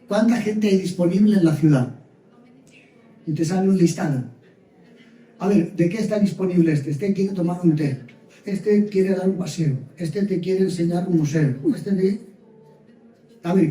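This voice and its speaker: A chatty man from Spain tells stories to a group, slipping into little acted-out exchanges. His voice sits high yet sounds dark and mellow. It is picked up from a distance in an echoey room. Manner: chatty storyteller who acts out little dialogues, laughs often. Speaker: speaker sharing travel experiences. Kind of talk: informal talk to a group.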